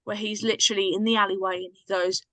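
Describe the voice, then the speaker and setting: A woman's voice: mid-range voice, flat delivery, quick pace, a bit bright, no echo. A woman in casual conversation as a podcast guest, talking in an enthusiastic, slangy, emphatic way. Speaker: podcast guest, drama writer and cast member. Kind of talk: casual chat.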